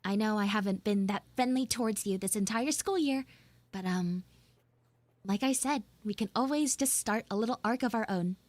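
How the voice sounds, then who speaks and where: A woman's voice: mid-range voice, normal speed, dry recording, pitched below the performer's own voice, lightly acted. A woman playing a prickly, defensive schoolgirl in a live audio roleplay, stammering and dramatic as she grumbles and pleads. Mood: awkward, conciliatory.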